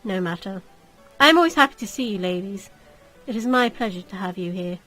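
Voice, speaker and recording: A woman voicing a suave young marquis in a gothic visual novel, speaking with measured, teasing courtesy. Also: medium pitch, lively intonation, fairly bright tone, faint music behind, low-quality recording. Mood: mock courtesy.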